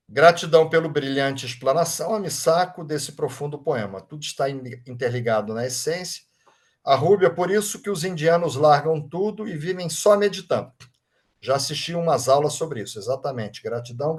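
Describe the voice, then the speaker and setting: Brazilian guy reading chat comments aloud on a video call, slightly high voice, lots of "right?" tags. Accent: Brazilian Portuguese. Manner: warm, informal, often adds tag questions like "right?". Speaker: Reiki master leading an online study group. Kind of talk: live group session, reading out chat comments.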